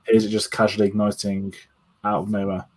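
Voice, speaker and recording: A man co-hosting a casual podcast chat, halting and searching for his words. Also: fairly low pitch, monotone, a little mellow. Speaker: podcast co-host.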